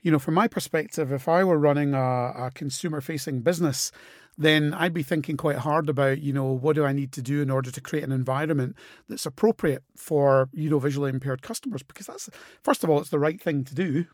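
A man hosting an interview, measured and conversational, with many you-knows and agreeing replies. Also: fairly high pitch, darkish tone, dry recording, studio quality. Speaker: host.

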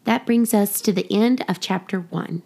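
A woman's voice: medium pitch, moderate pace, creaky, darkish tone, slightly rough. A woman reading scripted children's story narration and explanation, in a teaching manner. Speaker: storybook reader and podcast host.

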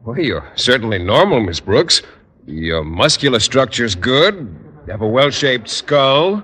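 A man's voice: mid-range voice, lightly acted. An actor playing an earnest, oblivious man who teaches school, literal-minded and hesitant, in an old radio sitcom. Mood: earnest, helpful. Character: earnest, naive, oblivious bachelor male schoolteacher. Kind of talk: 1940s-50s radio sitcom acting. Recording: hissy vintage radio recording.

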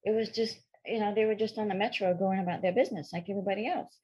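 Middle-aged female therapist from France, bilingual, husky, frank storyteller. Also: medium pitch, fairly even intonation, rapid-fire, a little mellow, poor audio. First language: French and English, bilingual. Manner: frank, candid, matter-of-fact with hesitations. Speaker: sex therapist guest. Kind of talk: storytelling interview answers.

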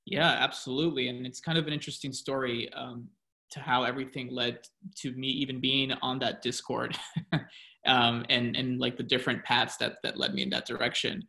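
A man's voice: medium pitch, level tone, normal speed, gravelly, pushed and bright. A man speaking as a podcast guest, a professor who talks through his story in a reflective, explaining way. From United States. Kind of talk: casual interview talk.

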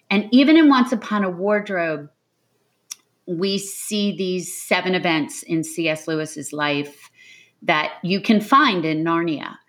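A woman giving an interview as a novelist, talking reflectively and with earnest emphasis, like someone telling a story. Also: lowish voice.

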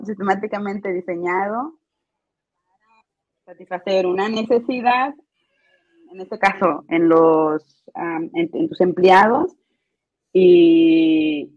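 A woman's voice: mid-range voice, breathy edge, no echo. A woman guest in an interview explaining her course design work, hesitant, with many fillers and restarts. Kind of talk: casual interview answers. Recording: dull lo-fi dry sound.

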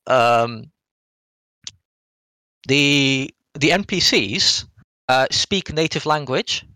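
A man talks casually as a podcast co-host going over a game. His delivery is halting and hedging as he rephrases his way along, at a middling pitch in a dry, close-sounding recording. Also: animated, sharp tone, no room sound. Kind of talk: casual rambling game review talk.

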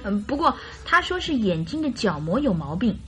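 A naive young bride-to-be in a radio play, with a high voice. She tells her story earnestly and chattily, with little hesitations, over music. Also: sing-song, normal speed, noisy background. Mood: shy, earnest. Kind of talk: radio drama acting.

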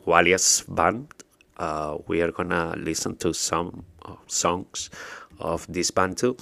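Man, very low voice, relaxed chatty radio host, hesitant, dry studio sound. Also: no echo. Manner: relaxed, hesitant, many fillers and restarts. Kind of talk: casual radio chat.

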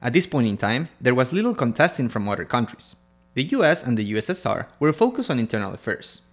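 Lively-voiced man reading a history podcast. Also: mid-range voice, fast. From Ecuador. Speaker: podcast co-host. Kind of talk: scripted history podcast narration.

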